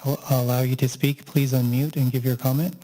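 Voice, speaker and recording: Guy clerk calling on speakers at a meeting, mellow voice, faint noise. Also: mid-range voice, flat delivery, a little fast, poor audio. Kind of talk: procedural announcements.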